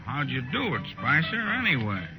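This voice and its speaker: Lively, prodding western saloon man. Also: a little high, normal speed, over music, rough recording. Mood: prying. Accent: American. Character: nosy, prodding saloon drinker, quick to bristle. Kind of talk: old-time radio western acting. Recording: old radio drama recording.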